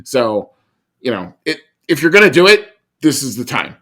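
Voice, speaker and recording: Male podcast co-host, mid-pitched, relaxed chatty delivery, restarts sentences often. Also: moderate pace. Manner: conversational, frequent restarts and asides. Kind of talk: casual chat.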